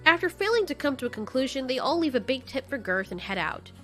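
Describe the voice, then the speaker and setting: A woman's voice: sits high, very animated, quick pace, bright, forceful tone, faint music behind. A woman narrator reading a scripted story in an audio drama.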